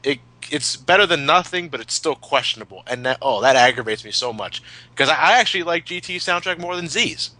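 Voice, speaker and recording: A man's voice: on the high side, expressive, a little fast, pushed and bright, no echo, poor audio. A man chatting casually as a podcast co-host, opinionated and emphatic, with plenty of swearing. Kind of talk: casual chat, retrospective commentary.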